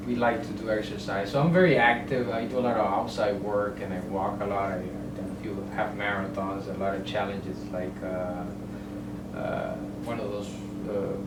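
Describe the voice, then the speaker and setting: A middle-aged man from El Salvador, a guest on a casual interview, talking haltingly with many fillers and explaining through simple analogies. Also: lowish voice. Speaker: guest, real estate broker. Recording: roomy, distant mic, ambient noise.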